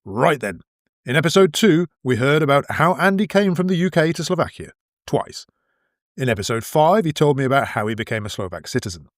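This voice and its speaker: A man hosting a podcast for English learners, speaking clearly with playful introductions. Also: fairly high pitch, big pitch swings, brisk, no room sound, studio quality. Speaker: podcast host.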